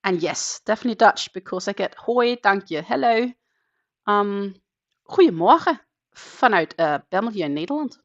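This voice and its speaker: Danish woman, gravelly voice, lo-fi stream. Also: mid-range voice, normal speed. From Denmark. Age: 30s. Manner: relaxed, counts stitches aloud, chatty with viewers. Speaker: crochet channel host. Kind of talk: casual live-stream chat while crocheting. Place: home in the Netherlands. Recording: dull lo-fi live-stream audio.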